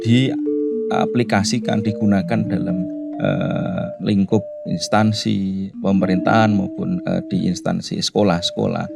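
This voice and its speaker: A man with a Javanese Indonesian accent and a low, gravelly voice speaks as a radio studio guest. He builds his points slowly, pausing often on fillers. Music plays clearly underneath him. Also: lively intonation. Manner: thoughtful, halting, many filler pauses. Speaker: guest speaker on language and culture. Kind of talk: casual interview chat.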